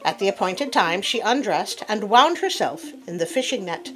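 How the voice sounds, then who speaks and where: A woman telling a fable as host in an expressive, theatrical read-aloud style with playful asides. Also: mid-range voice, moderate pace. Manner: theatrical, animated. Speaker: storyteller host. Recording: classical music playing behind. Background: soft piano sonata.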